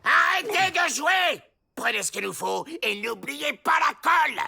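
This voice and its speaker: An actor playing a short-tempered, bossy little outlaw leader in a French cartoon, gravelly and barking impatient orders. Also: male voice, extremely high pitch, animated, unhurried, pushed and bright, shouting, a little hiss or hum, exaggerated voice. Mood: angry, bossy. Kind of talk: French animated comedy dubbing. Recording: music behind.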